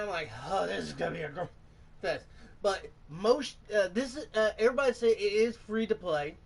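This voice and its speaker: A man hosting a gaming channel, giving casual, halting commentary and often groping for words. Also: high voice. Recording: voice distant from the mic.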